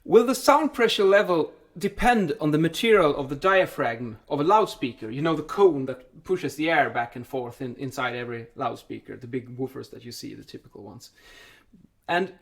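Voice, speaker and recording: A man with a somewhat high-pitched voice talks as a vlog host, explaining in an informal way. He thinks aloud, repeating words and correcting himself along the way. The voice sounds a little distant from the microphone. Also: moderate pace, vocal fry. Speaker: vlog host and building acoustics specialist answering a question.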